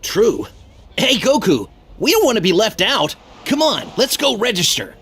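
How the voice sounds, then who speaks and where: A woman voicing a short, excitable, friendly young male martial artist in an anime game. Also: mid-range voice, moderate pace, husky, heavily acted. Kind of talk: English anime game voice acting.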